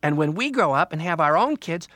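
Guy doing a little kid for a kids story, high bright voice, really eager. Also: expressive, fairly quick, no room sound. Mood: hopeful. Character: eager small child begging dad for a tree.

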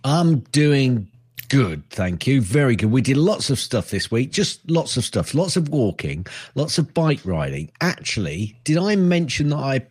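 A man's voice: mid-range voice, sing-song, measured pace, darkish tone, breathy edge, no echo, studio quality. A middle-aged man co-hosting a podcast in casual banter, with a playful manner and a habit of repeating words and phrases. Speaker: podcast co-host.

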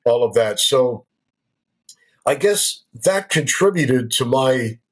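Older man, husky voice, chatty, folksy storyteller, interview guest. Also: mid-range voice, expressive, takes it slowly. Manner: chatty, folksy, checks in with "right?". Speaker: guest, former radio broadcaster. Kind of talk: conversational storytelling. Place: local to the show's town.

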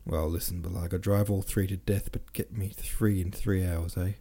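A man voicing a brash, bossy young gentleman rake from a novel, commanding and informal. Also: deep voice, flat delivery, normal speed, husky. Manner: commanding, peppers orders with "eh?".

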